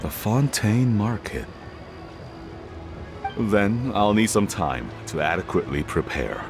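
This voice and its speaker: An actor voicing a cautious older male tea merchant who speaks slowly and deliberately, in a game with music. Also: lowish voice, animated. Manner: slow, deliberate, careful. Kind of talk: game voice acting. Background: game background music.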